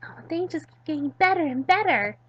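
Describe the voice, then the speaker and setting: Woman voicing a goofy, high-pitched excitable schoolgirl. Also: a little fast, lo-fi sound. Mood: pleased. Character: goofy, excitable, ditzy teenage schoolgirl visual novel character.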